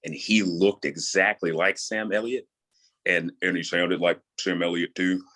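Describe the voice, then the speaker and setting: A man's voice: low-pitched, little pitch movement, unhurried, sharp tone. A man from New York with a soothing tone, chatting casually as an interview guest and explaining things in an easygoing way. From New York, USA. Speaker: guest, audio drama creator and voice actor.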